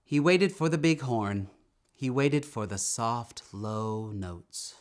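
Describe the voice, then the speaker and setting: A man narrates a children's picture book with a highly animated, swooping delivery. His voice sits at a middle pitch, and he tells the story deliberately and expressively. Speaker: storybook reader.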